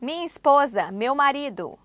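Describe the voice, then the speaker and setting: A woman with a high, lively voice narrates a language lesson from a script. She prompts each phrase clearly and at an even pace. The studio sound is dry and close. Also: moderate pace, no echo. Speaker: language course presenter.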